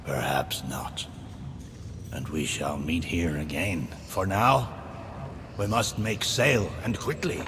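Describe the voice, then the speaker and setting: Game role: grave spectral ferryman of the dead, low-pitched, theatrical, cavernous reverb. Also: slow, noisy background. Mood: solemn, foreboding. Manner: grave, portentous, archaic formal diction. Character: solemn ghostly ferryman captain of the dead. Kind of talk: theatrical video game character acting. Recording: long cavernous reverb, music behind. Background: game music.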